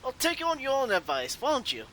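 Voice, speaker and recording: A man's voice: extremely high pitch, wildly swooping pitch, normal speed, sharp tone, a little hiss or hum. A man hosting a game playthrough, casually role-playing along as he comments. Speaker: let's play host.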